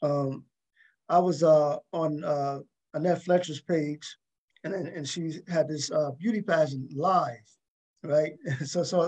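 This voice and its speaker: A man hosting a casual interview show, speaking in a halting way and often repeating his words. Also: a little high, husky. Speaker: talk show host.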